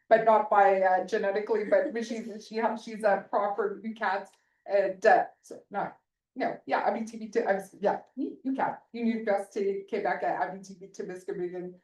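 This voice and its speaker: A woman's voice: medium pitch, darkish tone. A middle-aged woman panelist answering audience questions in a chatty, self-interrupting way with frequent laughs. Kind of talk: panel discussion Q&A. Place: conference hall. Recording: roomy, distant room mic.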